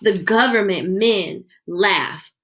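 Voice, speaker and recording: A woman reading a children's picture book aloud with expressive storytelling delivery. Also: mid-range voice, slow. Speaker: read-aloud reader.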